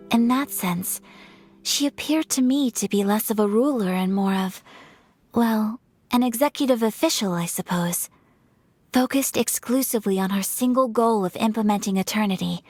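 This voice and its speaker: A woman playing a refined, composed young noblewoman in a video game, speaking in a formal, measured, polite way with a soft voice. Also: fairly high pitch, husky, no echo. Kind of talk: video game dialogue acting.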